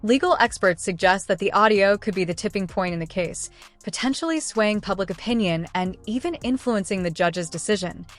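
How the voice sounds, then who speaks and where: A woman's voice: medium pitch, animated, brisk, faint music behind. A woman reading a dramatic scripted news narration.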